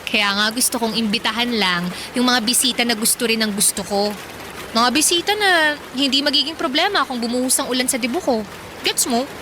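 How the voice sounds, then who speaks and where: Babaeng gumaganap bilang dalagitang mataas ang boses, makulit. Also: female voice, on the high side, brisk, noisy background, natural voice. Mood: playfully defensive. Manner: earnest, chatty, insistent. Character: dreamy, stubborn seventeen-year-old girl planning ahead. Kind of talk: Filipino radio drama acting. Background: music.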